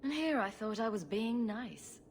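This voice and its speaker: A woman playing a sarcastic, quick-witted rogue heroine in a video game, with a wry manner. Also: on the high side, lively intonation. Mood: teasing. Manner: wry, flippant, teasing. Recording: faint background ambience noise.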